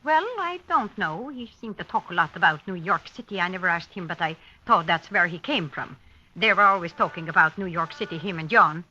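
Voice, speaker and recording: Abandoned wife, guarded and halting, 1950s radio acting; bright tone, dull vintage recording. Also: female voice, on the low side, expressive. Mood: hesitant, recalling. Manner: halting, plain-spoken, short phrases. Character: bitter, hesitant abandoned wife questioned by police.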